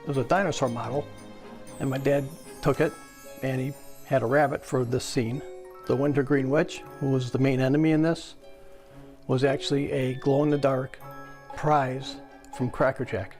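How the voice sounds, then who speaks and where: Middle-aged man reminiscing over soft music. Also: mid-range voice, steady tone, moderate pace, long pauses. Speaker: interviewee, collector's son. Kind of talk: casual reminiscing.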